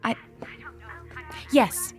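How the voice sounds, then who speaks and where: An actress playing an earnest, anxious young student in a radio drama, insistent yet hesitant. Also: female voice, very slow, in a whisper, faint music behind. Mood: worried, determined.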